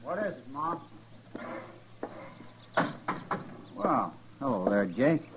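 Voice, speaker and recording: An actor playing a rancher's son, stunned and bitter, in a 1950s western radio drama. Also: male voice, mid-range voice, very animated, unhurried. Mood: friendly. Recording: noisy, muffled old radio recording.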